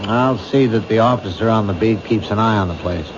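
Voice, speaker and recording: An actor playing a skeptical police captain who makes dry, dismissive quips in a 1970s radio drama. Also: male voice, fairly low pitch, brisk, lightly acted. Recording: dull old radio broadcast, noisy.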